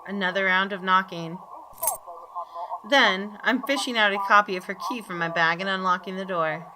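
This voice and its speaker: A woman reading visual novel story text aloud in a measured, expressive, deliberate way. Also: medium pitch. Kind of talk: dramatic narration of story text. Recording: clearly noisy background.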